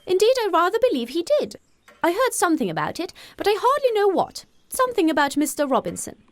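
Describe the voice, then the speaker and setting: A woman voicing a fussy, excitable middle-aged mother in an audiobook, gossipy and indignant, with emphatic exclamations. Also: extremely high pitch, fast, dry recording, pitched above the performer's own voice, full character voice. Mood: flustered, gossipy. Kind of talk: audiobook character voice.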